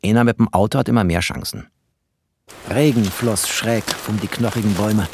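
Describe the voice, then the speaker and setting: An actor playing a bitter, bookish male refugee who narrates a postwar German radio play in expressive, poetic, sardonic tones. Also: on the low side, moderate pace, noisy background, close to an everyday voice. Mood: brooding, lyrical.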